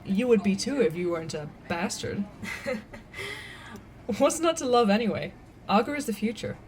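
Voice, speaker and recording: A bubbly young woman, teases playfully in an audio drama. Her pitch is animated and swoops about, her talk casual and mocking, with faint ambience behind. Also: measured pace, a little hiss or hum. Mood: teasing, gushing. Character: bubbly, teasing young coffee-shop worker and corporate fangirl.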